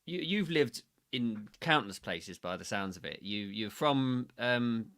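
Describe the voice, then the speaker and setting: A man hosting a casual interview, chatty and quick to laugh. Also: mid-range voice, animated, a bit bright. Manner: chatty, laughs easily, says "mate". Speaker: podcast host.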